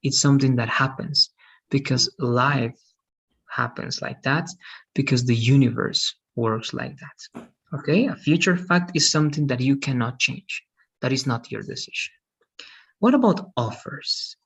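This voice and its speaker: A man's voice: mid-range voice, a little slow, creaky, a bit bright, breathy edge. A man in his thirties teaching an online English class, patiently checking understanding with frequent "okay?" and "right?". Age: 30s.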